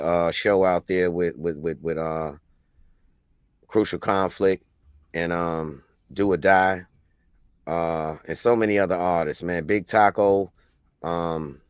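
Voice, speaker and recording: A man's voice: low voice, steady tone, takes it slowly, long pauses, clear, resonant tone, no echo, clean studio sound. A man from Albany, New York, a musician and producer, talking in a laid-back, rambling way on an online call. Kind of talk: casual chat.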